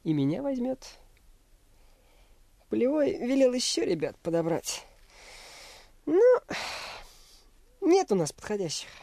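A woman's voice: fairly high pitch, wildly swooping pitch, unhurried, pauses often, dark tone, no echo, heavily acted. A woman voicing a confident, know-it-all young boy in a radio play, with a superior, teasing manner. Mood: proud.

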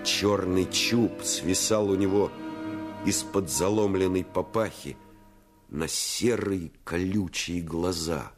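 A man narrating a boyhood adventure in the first person for a radio play, in a measured, literary storytelling manner. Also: low voice. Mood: tense, dramatic. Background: music.

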